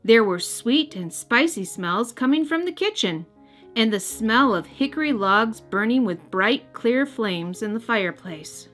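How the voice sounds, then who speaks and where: A woman's voice: fairly high pitch, lively intonation, a little slow, fairly bright tone. A woman hosting and narrating a children's read-aloud, speaking expressively and directly to young listeners. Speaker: host and storybook reader. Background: music.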